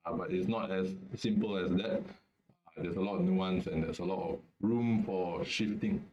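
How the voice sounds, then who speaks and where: A low-pitched young man from Singapore talks over a video call. His delivery is relaxed and hesitant, with fillers and restarts. The sound is echoey and muffled. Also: normal speed, slight background noise. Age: young adult. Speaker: student webinar host. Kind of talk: casual webinar discussion.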